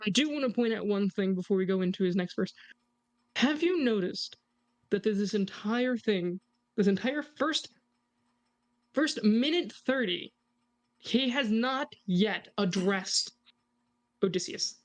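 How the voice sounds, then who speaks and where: A man's voice: sits high, animated, unhurried, long pauses. A man co-hosting a reaction video, casually analysing a song and joking with his audience. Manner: chatty, jokey, points things out to the audience.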